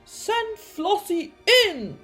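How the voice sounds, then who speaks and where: A storybook sea-creature dentist, played by a woman, male, cowardly and full of bluster. He shrieks and stammers dramatically, swinging wildly in pitch over background music. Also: sing-song, very slow, fairly bright tone, heavily acted. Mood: panicked.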